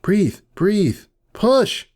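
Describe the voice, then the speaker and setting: A man's voice: high voice, very animated, drawn-out words, darkish tone, voice pushed up, exaggerated voice. A man voicing an urgent female pony midwife, insistently coaching in a dramatic story reading. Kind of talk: dramatic audiobook character voice.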